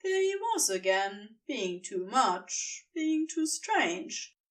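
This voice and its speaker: A woman reads fiction aloud as narrator, tender and expressive. Her highish voice moves slowly with very lively intonation, picked up from slightly away from the microphone. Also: recorded from a distance.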